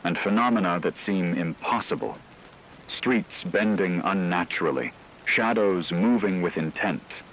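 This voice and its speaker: A man's voice: low-pitched, fairly bright tone, a little hiss or hum, poor audio. A man playing a solemn radio newscaster, narrating formally and ominously in a scripted radio drama. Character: solemn male radio newscaster reporting supernatural terror. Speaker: radio news host.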